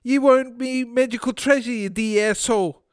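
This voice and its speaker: Man doing a high-pitched, foul-mouthed tiny fat man. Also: steady tone, moderate pace, smooth, dry recording, studio quality. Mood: indignant, mocking. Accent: bad put-on Irish. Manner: sweary, pleading and shouting. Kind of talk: comic short-story reading.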